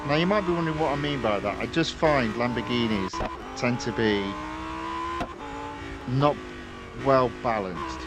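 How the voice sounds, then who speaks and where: A man giving relaxed live commentary on a racing game and chatting with viewers. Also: medium pitch, unhurried, pauses often. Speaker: gaming livestreamer. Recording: voice with constant game engine noise. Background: racing car engine sounds from the game.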